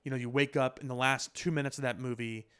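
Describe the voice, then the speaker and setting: A man's voice: medium pitch, fairly even intonation, fast talker, a bit bright, smooth. A man with a mid-range voice arguing his view in casual podcast talk. He is emphatic and opinionated, often repeating a phrase to drive it home. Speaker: podcast co-host. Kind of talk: casual conversational debate.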